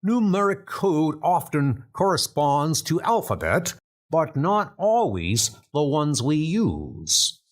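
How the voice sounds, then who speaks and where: A man's voice: fairly high pitch, sing-song, takes it slowly, pitched above the performer's own voice, heavily acted. A man voicing a formal British wartime spy-school instructor, explaining things in a comic dub. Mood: instructive.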